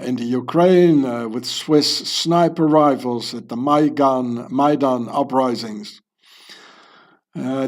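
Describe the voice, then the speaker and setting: A man's voice: on the high side, darkish tone. A man from South Africa who grew up speaking French at home, giving a rambling commentary to his video channel and asking rhetorical questions. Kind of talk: casual commentary monologue.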